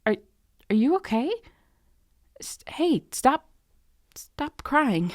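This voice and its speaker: A woman playing a snarky but soft-hearted young tutor in an ASMR romance roleplay, halting and sweary, with sighs and a tendency to drop into whispers. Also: high voice, sing-song, no room sound, clean studio sound, heavily acted. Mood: panicked, apologetic. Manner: halting, awkward, sweary, often sighing and dropping to whispers. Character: harsh, snarky young female tutor turned flustered, guilty comforter.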